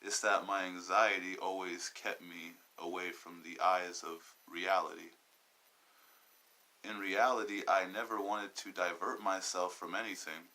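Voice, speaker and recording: Low-voiced, sharp-toned young man. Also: fairly even intonation, poor audio. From Southern California. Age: 20s. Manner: halting and wandering, searching for words. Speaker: podcast host. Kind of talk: personal podcast monologue.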